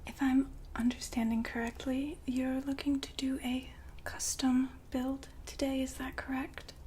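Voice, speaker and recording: A woman playing the poised, businesslike madam of a futuristic establishment in an ASMR roleplay, whispering softly and formally to a client. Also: sits high, level tone, slight background noise. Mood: courteous, businesslike. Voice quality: soft, breathy whisper. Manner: polite, formal, unhurried saleswoman patter.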